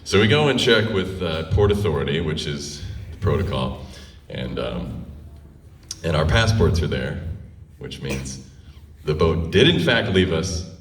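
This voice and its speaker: Deep-voiced man telling a story, roomy echo. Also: very animated, unhurried, a little hiss or hum. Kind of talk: live comic personal storytelling. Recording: roomy echo, distant microphone.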